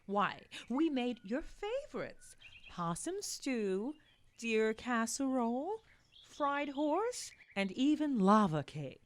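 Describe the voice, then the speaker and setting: Woman playing a singsong, high-voiced Bigfoot mom. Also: sing-song, slow pace. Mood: cheerful, placating. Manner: sugary, singsong homemaker delivery. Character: sweet, cheery, doting Bigfoot mother and housewife. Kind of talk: comedic radio play acting.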